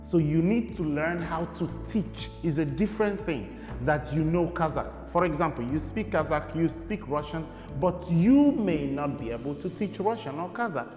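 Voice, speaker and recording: A man's voice: a little high, expressive, moderate pace, hardly any pauses, darkish tone, faint music behind. A young Nigerian man, a guest in an interview, talking casually and rambling with many fillers. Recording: echoey room with long reverb.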